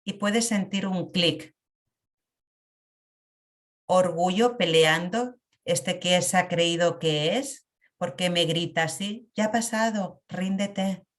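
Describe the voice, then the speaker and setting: A woman leading a guided emotional meditation in a calm, reflective way. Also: fairly low pitch. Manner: slow, calm, reflective guidance with long pauses.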